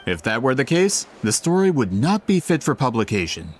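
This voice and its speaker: Man playing a very animated, courteous book merchant. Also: medium pitch, moderate pace, slight background noise, natural voice. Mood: explanatory. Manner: courteous, theatrical salesman. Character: polite, eager male book merchant and publisher. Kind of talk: video game voice acting.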